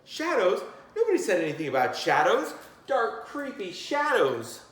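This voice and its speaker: Man doing an eager high-pitched groundhog pupil. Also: huge pitch swings, moderate pace, a bit bright, heavily acted. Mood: alarmed. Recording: very echoey, roomy sound.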